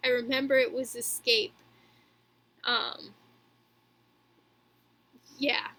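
A woman in her twenties co-hosts a casual podcast. Her voice is high and bright, and she talks slowly. The delivery is informal and a little halting, like unscripted conversation. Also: flat delivery. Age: 20s. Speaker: podcast co-host. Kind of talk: casual chat.

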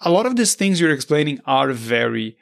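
A man whose first language is Portuguese, hosting a podcast interview in a conversational, halting way with frequent restarts. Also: fairly high pitch, big pitch swings, gravelly, a bit bright. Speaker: podcast host.

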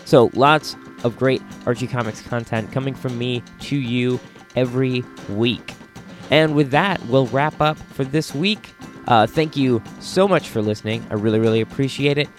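A man's voice: medium pitch, moderate pace, soft background music. A man hosting a podcast in a casual, enthusiastic, rambling style. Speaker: podcast host.

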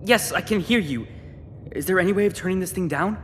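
A man's voice: high voice, fairly quick, lightly acted. A man playing a wary, exasperated teenage boy in a sci-fi audio drama, dry and sarcastic with an anxious edge. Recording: echoing room with clear background noise.

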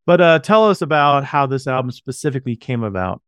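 Guy hosting a chatty podcast interview, medium pitch, really dry sound. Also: lively intonation, a little fast, a bit bright, clean tone, dry recording. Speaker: podcast host.

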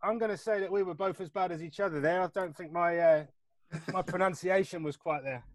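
A man's voice: sits high, brisk, no echo. A man co-hosting a casual chat, quizzing a guest with jokey, self-mocking humour and frequent laughs. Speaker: podcast co-host.

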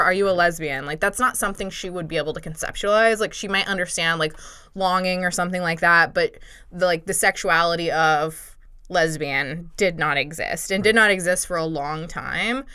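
A young woman with a lowish, smooth, resonant voice talks through a topic in relaxed podcast chat. She strings her thoughts together with plenty of "like" and laughs easily. The sound is close and dry. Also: dry recording. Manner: chatty, lots of "like" fillers, laughs often. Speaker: podcast co-host presenting a topic. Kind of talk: casual chat.

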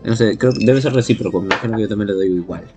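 Lowish-voiced, questioning man over music. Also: rapid-fire. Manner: argumentative, questioning. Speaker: podcast co-host. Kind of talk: casual reflective discussion. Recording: music playing behind the voice. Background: background music.

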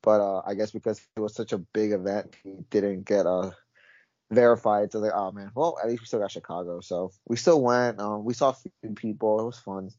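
A North American man co-hosting a podcast in casual chat, laid-back with drawn-out uhs. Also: lowish voice, fairly even intonation, a little mellow, no echo, highs cut off. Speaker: podcast co-host.

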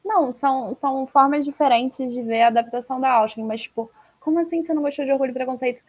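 A woman with a Brazilian accent and a high voice hosts a podcast. She chats casually and informally, with animated, slangy phrasing. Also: fast. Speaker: podcast host. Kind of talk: casual chat about books.

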